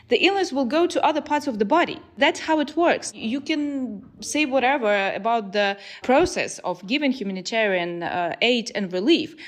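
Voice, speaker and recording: A woman from Ukraine, a member of parliament, making an emphatic point in an interview. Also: on the high side. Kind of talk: interview answers.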